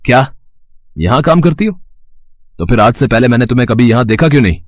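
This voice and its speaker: A man's voice: medium pitch, animated, fast talker, dry recording, studio quality, natural voice. A man acting an arrogant, short-tempered fashion designer in a dramatised moral story, imperious and mixing English into his Hindi. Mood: angry, scornful.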